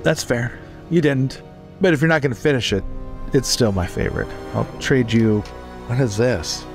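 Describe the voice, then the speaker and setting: A man's voice: medium pitch, moderate pace, over music. A man playing a tabletop role-playing game, talking casually and in character.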